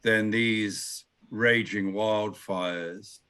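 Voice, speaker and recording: A man's voice: fairly low pitch, fairly even intonation, extremely slow, pushed and bright, clean tone. A man presenting, telling a story in a discussion after a talk, rambling and hesitant, with many fillers.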